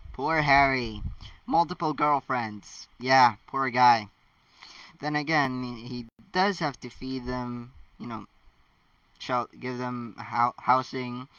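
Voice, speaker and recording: A man reading stories aloud casually, with chatty, halting asides of his own. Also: medium pitch, fairly bright tone, no echo, far from the mic, lo-fi sound. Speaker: amateur fan fiction narrator. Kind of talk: casual read-aloud with rambling personal commentary.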